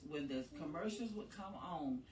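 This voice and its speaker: A woman's voice: sits low, lively intonation, normal speed, a little mellow, reverberant, recorded from a distance, a little hiss or hum. A woman co-hosting a casual podcast, talking in an encouraging, rambling way with lots of fillers. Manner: encouraging, rambling, many "you know" fillers. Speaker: podcast co-host.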